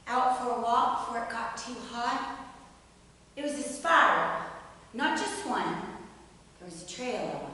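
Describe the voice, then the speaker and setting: Very echoey distant recording, woman, higher-pitched voice, live dramatic reading, drawn-out suspense. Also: bright, forceful tone. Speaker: actor at a live staged reading. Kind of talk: dramatic reading with teasing audience banter. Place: live staged reading in a reverberant room. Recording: echoey hall from far off, faint noise.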